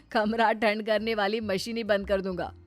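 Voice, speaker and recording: A woman's voice: medium pitch, fairly bright tone. A woman voicing a fussy, exasperated young husband who scolds and instructs in a cartoon moral story. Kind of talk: animated Hindi moral story dialogue.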